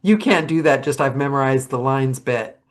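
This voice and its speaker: A woman hosting a casual interview over a video call, playful and quick to laugh. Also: extremely low pitch. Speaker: podcast host.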